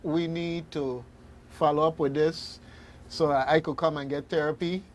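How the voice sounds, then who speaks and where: A man hosting a radio talk show, speaking casually and haltingly, often repeating words as he goes. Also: a little high, fairly even intonation, a little hiss or hum. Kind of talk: casual on-air discussion.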